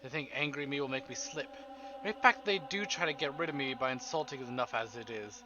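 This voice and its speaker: A man's voice: mid-range voice, fast talker, muffled recording, a crowd in the background. A man reading dramatic first-person narration aloud from a story game as a streamer. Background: game music.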